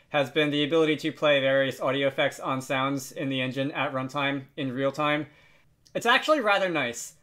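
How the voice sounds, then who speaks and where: A man hosts an unscripted software tutorial in a fairly high, bright voice. He speaks close to the mic, feeling his way through sentences and correcting himself as he demonstrates on his computer. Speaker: tutorial video host.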